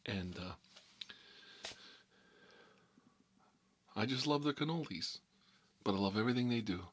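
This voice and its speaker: A man's voice: on the low side, animated, takes it slowly, a little hiss or hum. A man playing a nostalgic, folksy mob boss, telling rambling stories in a casual ASMR style. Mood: friendly. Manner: rambling, folksy, frequent "you know". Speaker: host of a character story series.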